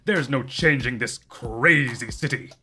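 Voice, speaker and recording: Give me a man acting a dry, world-weary detective, mid-pitched and forceful, for a noir spoof. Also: a little slow, slight background noise. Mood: resolute. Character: stubborn, world-weary male police detective. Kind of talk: noir parody audio drama.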